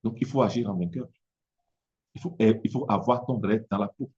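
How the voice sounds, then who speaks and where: A business coach from Togo, a man whose first language is Mina, speaking French with an Italian-influenced accent in a halting motivational talk, often repeating words as he searches for them. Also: lowish voice, a little mellow, muffled recording.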